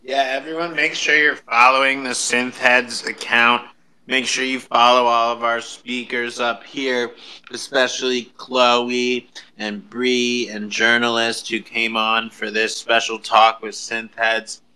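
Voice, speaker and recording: A man's voice: medium pitch, little pitch movement, unhurried, pushed and bright, low-quality recording. A man hosting a live audio chat in a casual, informal way. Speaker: host.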